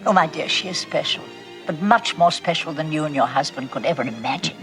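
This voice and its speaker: An actress playing an elderly, gracious and knowing wife in a vintage television drama. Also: female voice, low voice, a little fast, fairly bright tone, soft background music, low-quality recording. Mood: fond, knowing. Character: gracious, knowing old wife reminiscing fondly.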